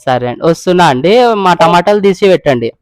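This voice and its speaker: A man's voice: high voice, expressive, fast talker, no echo. A young man chatting casually as a prank caller and video host, mixing English words into his speech. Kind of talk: casual prank phone chat.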